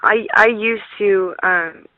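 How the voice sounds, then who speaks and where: A woman's voice: medium pitch, takes it slowly, no echo. A young woman talking earnestly in a phone conversation, over a slightly muffled line. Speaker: prank call recipient.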